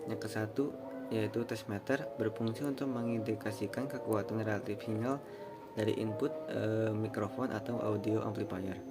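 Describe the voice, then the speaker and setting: A young man giving an informal student presentation, explaining haltingly with casual asides. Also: on the low side, steady tone, loud music behind the voice. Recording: clearly noisy room recording.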